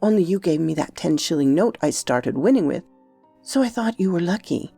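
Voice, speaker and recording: A woman's voice: fairly low pitch, lively intonation, normal speed, creaky, dark tone, husky, no echo, faint music behind, exaggerated voice. Storybook boy, played by a woman: young, solemn, polite, insistent, secretive, in a dry close recording. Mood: pleading, earnest. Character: earnest, secretive young boy, gravely confident about betting.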